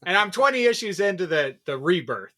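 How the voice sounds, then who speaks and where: Man, bright sharp tone, podcast co-host, rambling, casual swearing. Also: high-pitched, very animated, brisk.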